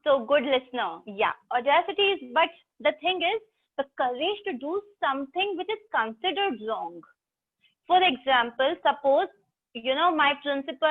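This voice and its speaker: A high-pitched woman with an Indian English accent teaches English online, chatty and teasing, calling on her students by name. Her voice comes through thin, phone-like video call audio. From South India. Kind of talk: online class lecture.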